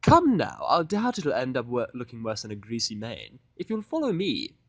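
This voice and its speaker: A man voicing a prim, fussy, theatrical female pony fashionista in a fan fiction audio drama, with a haughty, drawn-out, dramatic delivery. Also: medium pitch, wildly swooping pitch, dry recording. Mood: coaxing.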